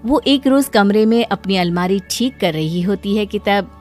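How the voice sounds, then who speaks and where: A woman's voice: medium pitch, normal speed, a little mellow, soft background music. A woman reading scripted narration for a moral story.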